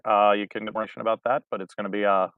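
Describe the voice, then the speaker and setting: A man's voice: lowish voice, little pitch movement, very fast, phone-quality sound. A man, a community theater director, chatting casually as an interview guest, rambling a bit and laughing easily.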